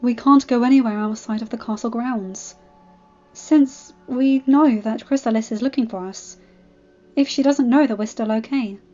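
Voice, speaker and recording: A woman voicing a bookish, earnest female pony who speaks in a measured way. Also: fairly high pitch, a little mellow, lo-fi sound, natural voice.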